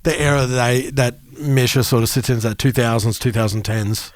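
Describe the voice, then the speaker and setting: A man's voice: medium pitch, fairly even intonation, a little fast, no room sound. Man chatting on a podcast, dry sound. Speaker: podcast co-host. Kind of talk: casual music podcast chat.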